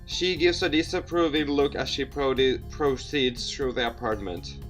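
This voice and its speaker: A game streamer with a mid-pitched voice reading a story game aloud expressively, with asides and the odd stumble over words. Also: steady tone, measured pace, faint music behind. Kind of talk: let's play narration with reactions and asides.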